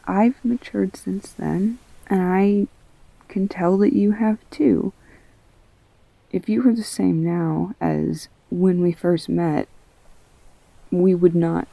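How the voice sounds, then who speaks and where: A woman playing a tender, reassuring girlfriend in an intimate audio roleplay, speaking haltingly and softly with a breathy, husky voice. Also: medium pitch, no echo, rough recording. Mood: earnest, fond. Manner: soft, halting, soothing, often near whispering. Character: tender, reassuring girlfriend comforting an upset partner.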